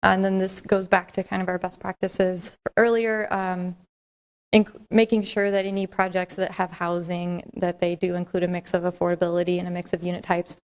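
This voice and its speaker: A soft-spoken woman on city staff, giving a measured, explanatory presentation at a council meeting. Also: lowish voice, level tone. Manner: measured, explanatory, with fillers and restarts.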